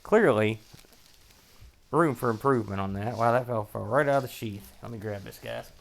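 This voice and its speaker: An American man with a noticeably creaky, gravelly voice at an ordinary male pitch hosts a casual unboxing. He rambles along, thinking out loud as he sorts through items and comments on them. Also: normal speed. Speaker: host of a retro computing show.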